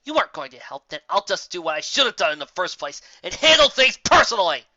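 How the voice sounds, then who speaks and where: A woman's voice: fairly low pitch, sing-song, quick pace, pushed and bright, no room sound, highs cut off, voice pushed up, heavily acted. A woman voicing a temperamental pegasus mare in a storybook reading, exasperated and emphatic. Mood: furious outburst. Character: temperamental, fed-up pegasus mare, earnest and outraged.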